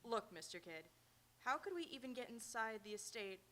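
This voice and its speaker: A woman's voice: fairly high pitch, big pitch swings, normal speed, fairly bright tone, a little hiss or hum. A woman playing a practical, wry magazine photographer in a live radio comedy. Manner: dry, pragmatic. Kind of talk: live radio drama acting. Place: auditorium stage, live radio theatre.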